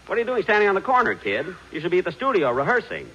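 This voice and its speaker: A man acts out a vain, fussy star of an old-time radio comedy, easily exasperated. The voice sits a bit high and swings widely in pitch. The recording is muffled. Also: wildly swooping pitch, very fast, bright, forceful tone, heavily acted.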